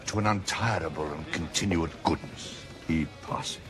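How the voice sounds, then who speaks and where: Very deep solemn classical stage voice. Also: male voice, normal speed. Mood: solemn, lofty. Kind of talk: classical Shakespearean stage acting. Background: music.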